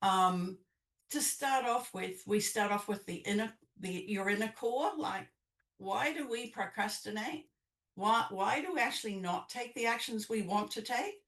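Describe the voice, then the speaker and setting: A woman's voice: mid-range voice, a little slow, fairly bright tone. An older woman with a New Zealand accent talking conversationally as an interview guest about her own work. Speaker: podcast guest, business coach. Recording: echoey room, distant mic.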